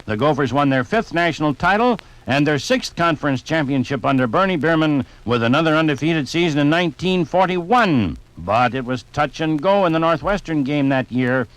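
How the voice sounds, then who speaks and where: Man acting a jovial sportsman, 1950s radio, conversational raconteur, lively intonation, dry sound. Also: fairly high pitch, moderate pace, no echo, lightly acted, rough recording. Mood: enthusiastic. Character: jovial sportsman reminiscing about football on a fishing trip.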